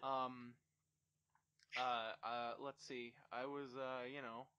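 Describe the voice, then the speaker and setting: A young man in casual banter, joking and swearing, with many fillers when he slows down. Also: medium pitch, flat delivery, bright, forceful tone, clear, resonant tone, highs cut off. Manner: joking, swears often, mumbles with fillers when he turns earnest.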